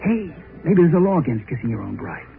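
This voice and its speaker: An actor playing a carefree, playful young groom who jokes boisterously, in 1930s radio acting style. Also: male voice, a little high, very animated, brisk, gravelly, faint music behind. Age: young adult. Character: carefree joking young groom, happy-go-lucky. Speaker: young bridegroom. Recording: tinny old radio recording with faint hiss.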